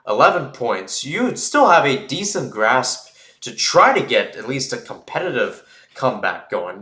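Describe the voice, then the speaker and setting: A man's voice: medium pitch, sharp tone, echoey room. A man giving live play-by-play football commentary in an informal way, thinking aloud and correcting himself as he goes.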